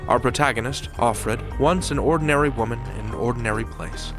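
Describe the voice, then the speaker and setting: A man's voice: medium pitch, brisk. A man hosting a podcast, giving a dramatic scripted introduction to the episode. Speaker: podcast host. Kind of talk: scripted narration. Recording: music underneath.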